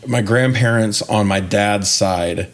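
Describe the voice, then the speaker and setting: A man's voice: on the low side, takes it slowly. A man in his forties co-hosting a casual movie podcast, chatting in a slangy, joking, mildly profane way. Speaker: podcast co-host.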